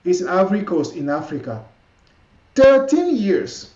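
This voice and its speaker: A man with a French accent and a warm, mellow voice pitched somewhat high. He talks in a halting way, repeating words while he hunts for the next one. The sound is roomy and a little dull, like a lo-fi recording. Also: huge pitch swings. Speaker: podcast guest, coach. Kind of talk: casual interview talk.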